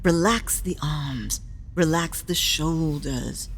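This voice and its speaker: A woman's voice: sits low, takes it slowly, vocal fry, noisy background, full character voice. A woman in an audio drama playing a wry, unflappable older matron who bosses and soothes. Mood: calming, wry. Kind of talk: full cast audio drama acting. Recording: long hall-like reverb, faint ambience.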